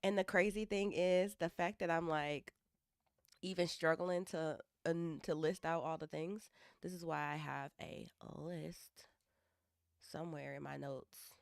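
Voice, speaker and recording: Young woman, low and hoarse, rambling live-stream chat, dry close sound. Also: steady tone, measured pace, dry recording. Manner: rambling, self-interrupting, thinking aloud. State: hoarse from allergies. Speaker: livestreaming developer.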